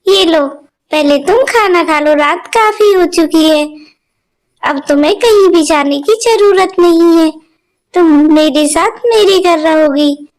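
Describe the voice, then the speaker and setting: Gentle, motherly cartoon sparrow, high and soft; a woman voices her. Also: unhurried. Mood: comforting. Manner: gentle and soothing. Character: kind motherly sparrow aunt.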